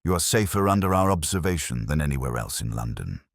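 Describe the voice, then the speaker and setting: A man voicing a keen, calm Victorian gentleman detective in a storybook, speaking formally with quiet authority. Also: rumbling low, fast, vocal fry, no echo, studio quality, heavily acted. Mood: commanding.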